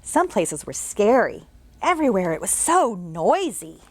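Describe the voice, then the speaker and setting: Lively woman reading a picture book aloud. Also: mid-range voice, measured pace, no room sound. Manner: animated, talks to young listeners with questions. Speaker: children's librarian.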